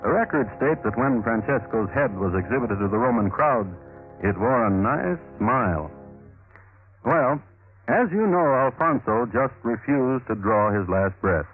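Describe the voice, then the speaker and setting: A man acting as a wry, deadpan storyteller of historical crimes, narrating a classic radio drama with dry irony. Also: mid-range voice, unhurried, close to an everyday voice. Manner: deadpan, dryly ironic. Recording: tinny old radio recording with loud music.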